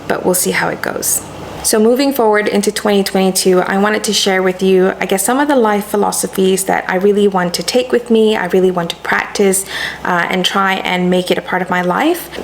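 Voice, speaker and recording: A woman's voice: medium pitch, a little hiss or hum. A young woman from Malaysia whose first language is Malay, talking in an earnest, reflective personal vlog as a YouTuber. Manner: earnest, thoughtful, with soft fillers.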